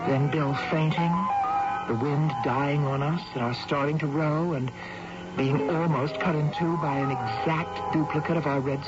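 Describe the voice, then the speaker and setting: An actress playing a shaken, bewildered estranged wife in a radio drama, with a hesitant, dramatic delivery. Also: female voice, low-pitched, natural voice. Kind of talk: radio drama acting and narration. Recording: dull lo-fi radio broadcast.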